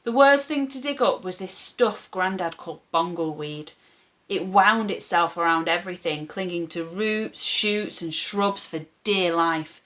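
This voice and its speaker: A woman reading a children's story aloud as a storyteller, with expressive, animated phrasing for young listeners. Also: fairly low pitch, measured pace.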